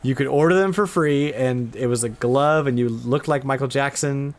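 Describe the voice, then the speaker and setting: A man's voice: mid-range voice, normal speed. A man chatting casually and enthusiastically as the host of a retro gaming podcast.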